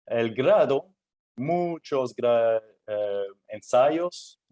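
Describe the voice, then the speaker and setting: A man giving a technical workshop talk in Spanish, his third language. He speaks slowly, with a mid-range voice. He pauses often and fills the gaps with hesitant "eh" sounds, restarting words as he searches for them. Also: medium pitch, animated, warm tone. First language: not Spanish; Spanish is his third language. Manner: halting, many "eh" fillers and restarts, non-native phrasing. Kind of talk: technical presentation.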